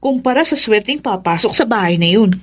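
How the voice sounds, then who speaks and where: An actress playing a self-righteous, bossy elder sister in a Filipino radio drama, nagging and insistent with drawn-out emphasis. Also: female voice, fairly high pitch, animated.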